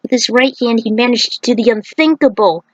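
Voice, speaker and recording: A woman's voice: fairly high pitch, brisk, no echo, lo-fi sound. A woman reading an action fantasy story aloud as a narrator, in a dramatic scripted style.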